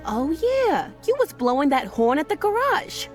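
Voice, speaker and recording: A cheeky, streetwise youngster in a game. The voice is high and very lively in pitch, with slangy, blunt phrasing over music. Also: female voice, unhurried. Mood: curious, cheeky. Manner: slangy, blunt, informal grammar. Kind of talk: voiced dialogue in a narrative RPG.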